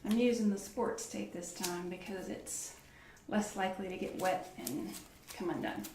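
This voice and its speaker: A soft-spoken woman doing an ASMR medical roleplay as a doctor, murmuring reassuring step-by-step instructions to a patient. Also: mid-range voice, fairly even intonation, moderate pace, echoey room, recorded from a distance, background noise. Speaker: ASMR performer playing an orthopedist. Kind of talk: ASMR medical roleplay, one-on-one personal attention. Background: clicks and handling sounds of props.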